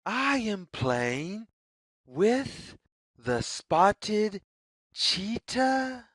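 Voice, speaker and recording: A woman narrates a children's storybook at an extremely unhurried pace. Her pitch swings up and down in a sing-song way, with careful, deliberate phrasing. The recording is dry, with very little room sound. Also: sing-song, a bit bright, husky, no echo. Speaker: children's read-aloud narrator, teacher. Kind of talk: slow, expressive picture-book read-aloud for young children.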